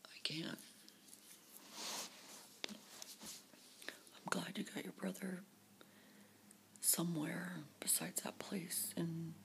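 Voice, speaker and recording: A woman whispering into her camera for a personal video diary, halting and repeating her words. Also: fairly low pitch, little pitch movement, gravelly. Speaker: vlogger. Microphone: camera facing her.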